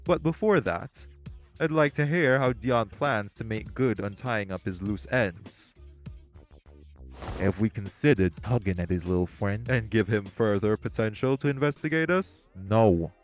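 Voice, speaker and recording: A man voicing hostile crime bosses in an audio roleplay drama, profane and confrontational, with soft music behind. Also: fairly low pitch, animated, a little slow. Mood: sneering. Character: hostile male crime bosses, magic gangsters.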